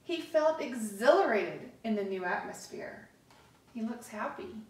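High-pitched woman, echoey room, children's read-aloud, unhurried, expressive pauses. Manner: deliberate, expressive storytelling with pauses. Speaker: story time host reading to children. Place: living room, indoors. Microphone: camera across the room. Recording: echoey room, distant microphone.

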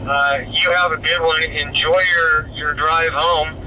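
Man on ham radio, clear road noise. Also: fairly high pitch, level tone, a little slow. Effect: heard over a digital radio link. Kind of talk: casual radio contact chat. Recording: radio audio played amid clear car noise. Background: car and road noise.

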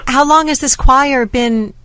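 A woman's voice: high-pitched, measured pace, bright, forceful tone, dry recording. A woman radio host giving an upbeat announcement to a caller on air.

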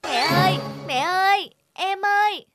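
A timid, sweet young cartoon heroine, played by a woman, polite and plaintive in a high voice. Music sits clearly behind her. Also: unhurried. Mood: calling out. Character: gentle, timid orphaned girl, polite and sweet.

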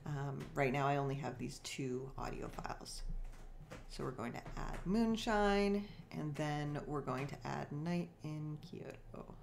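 A woman narrating a software tutorial in a casual, informal way, explaining things step by step. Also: low-pitched, animated, measured pace, vocal fry, slight background noise.

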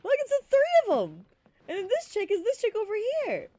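A high, very lively woman's voice in a dry, close recording. She gives casual gaming commentary to chat, full of slang and swearing. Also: a little fast, no echo. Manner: animated, slangy, profane. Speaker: gaming streamer.

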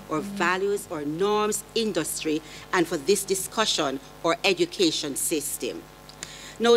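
A middle-aged woman with a fairly low voice delivers a prepared academic talk from a panel table. She is earnest and emphatic, leaning on rhetorical questions, with a faint hum in the background. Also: low-quality recording. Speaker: panelist, education lecturer. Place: conference hall panel table. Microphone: table gooseneck mic.

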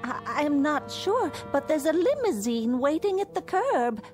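An actress playing a devoted, fussing pastor's wife in a radio drama. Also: female voice, high-pitched, sing-song, unhurried, music in the background. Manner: solicitous and fussing.

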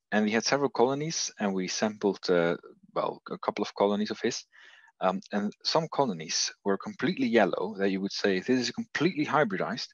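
Belgian-accented man, deep voice, halting conference talk over a muffled, dry video call line. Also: no echo. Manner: hesitant, many fillers and restarts. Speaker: researcher presenting.

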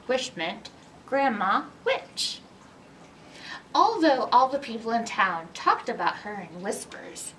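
A middle-aged woman hosting a read-aloud story time for young children, animated and teacherly in manner. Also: on the high side. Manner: animated, exaggerated, teacherly, asking questions. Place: indoors in a library, by bookshelves. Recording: roomy distance from mic, background noise.

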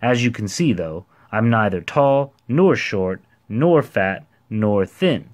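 A man voicing a proud, cheery storybook man who declares things with bright self-importance. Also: lowish voice, lively intonation, slow. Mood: impatient, explanatory. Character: proud, cheery, impatient ordinary man posing as a giant. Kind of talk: storybook character voice.

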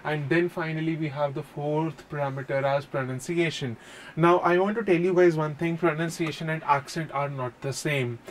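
A man's voice: on the high side, moderate pace, slight background noise. A man in his thirties with an Indian accent, giving a classroom lecture on exam speaking tips, talking animatedly and often saying "okay", recorded on a lapel mic in a classroom. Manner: animated, gestures at whiteboard, says "okay" often. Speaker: IELTS tutor. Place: classroom in front of projected screen.

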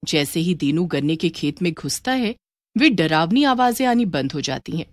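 A woman narrates a scripted story. Her voice is slightly low with very lively intonation, recorded close and dry. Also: normal speed, no room sound.

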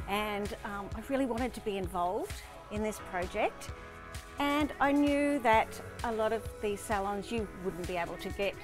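A middle-aged woman with an Australian accent, explaining a service in an upbeat, enthusiastic way in a promotional interview. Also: mid-range voice. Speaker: shop owner being interviewed. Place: stockroom of a shop, later outdoors by bins. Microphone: lapel mic. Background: background music.

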